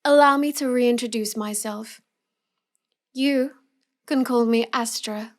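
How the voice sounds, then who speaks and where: A woman playing a cute, smug young villainess who teases and manipulates the listener in a scripted roleplay audio. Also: a little high. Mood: smug, gloating. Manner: slow, smug, taunting delivery.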